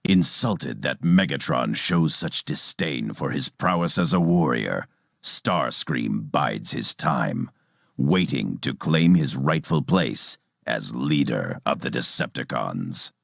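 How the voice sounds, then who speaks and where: Cold robot warrior scientist for a game, rock-bottom pitch, husky gravel, haughty, clinical and measured. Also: male voice, dry recording, studio quality, heavily acted. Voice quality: very deep, gravelly. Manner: measured, clinical, formal, condescending. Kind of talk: video game villain acting and narration.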